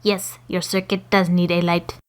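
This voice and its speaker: A woman's voice: on the low side, little pitch movement, normal speed, smooth, pitched below the performer's own voice, lightly acted. Woman putting on a stern, oblivious foreign teacher.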